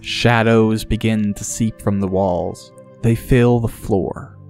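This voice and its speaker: A man's voice: fairly low pitch, steady tone, takes it slowly, a little mellow. A man narrating a scripted fantasy audiobook in a dramatic storytelling style. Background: music.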